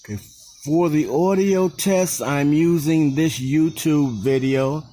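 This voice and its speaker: A man's voice: fairly high pitch, takes it slowly, dark tone. A man giving a casual, unscripted demonstration as a seller, thinking aloud in a plain, hesitant way. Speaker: online seller demonstrating used goods. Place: indoor room, behind a handheld camera. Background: music from speakers being tested.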